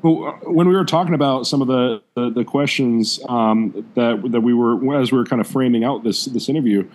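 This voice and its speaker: A man's voice: medium pitch, a little fast, darkish tone, low-quality recording. A man in casual interview conversation, rambling and repeating himself as he goes.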